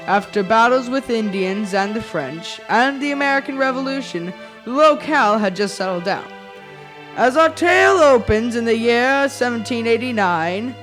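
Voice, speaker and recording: High-voiced storyteller of ghostly tales, played by a boy. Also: a bit bright. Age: young adolescent performer in a middle school production. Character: Dietrich Knickerbocker, old-time storyteller and chronicler of ghostly tales. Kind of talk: scripted radio play narration. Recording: music behind the voice.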